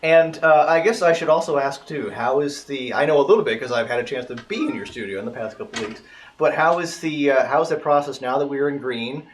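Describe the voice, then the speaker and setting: A man's voice: medium pitch, a little fast, slight background noise. A man hosting a casual interview, asking long, rambling questions with restarts. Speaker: host.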